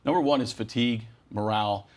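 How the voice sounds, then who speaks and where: A man's voice: fairly low pitch, quick pace, a little mellow. A man speaking as a union leader in a television news interview, making his case earnestly and emphatically in long, slogan-like sentences. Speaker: air traffic controllers' union president.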